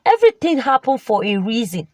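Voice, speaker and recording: A woman's voice: a little high, very animated, fairly quick, darkish tone, no room sound, studio quality. A woman storyteller voicing two forgiving young girls in an earnest, soothing way. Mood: consoling.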